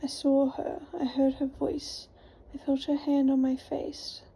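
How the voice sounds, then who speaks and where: A woman's voice: high-pitched, completely flat delivery, measured pace, mellow, poor audio. A woman whispering the lines of a frightened young woman in a storybook reading. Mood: frightened pleading. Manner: whispered, pleading. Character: frightened young woman haunted by a lost friend.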